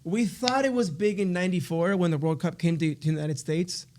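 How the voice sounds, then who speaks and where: A man from Argentina co-hosting a casual podcast, joking and energetic as he leads the questions. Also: sits high, brisk, slight background noise. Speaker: podcast co-host. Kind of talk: casual chat. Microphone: studio podcast mic.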